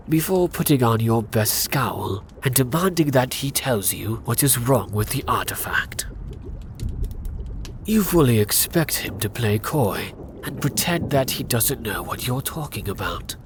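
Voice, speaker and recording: A man narrating a scripted fantasy ASMR roleplay in a hushed, often whispered voice with dramatic pauses. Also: medium pitch, slight background noise.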